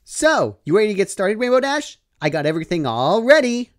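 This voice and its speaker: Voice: mid-range voice, big pitch swings, a little fast, pitched above the performer's own voice, lightly acted. A narrator voicing an overexcited, gleeful young female pony who gushes in an eager, chirpy way.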